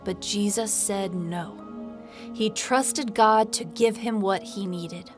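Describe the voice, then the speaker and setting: A woman's voice: medium pitch, slow. A woman reading scripted narration for a children's Bible story in short, simple sentences. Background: music.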